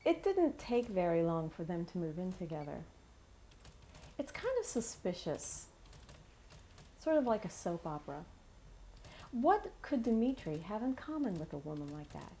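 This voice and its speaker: Woman as a mellow, swooping gossipy woman. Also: on the low side, very animated, a little slow, pauses often, a little hiss or hum. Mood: gossipy, suspicious. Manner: wry, insinuating. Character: gossipy, suspicious, opinionated woman speculating about others.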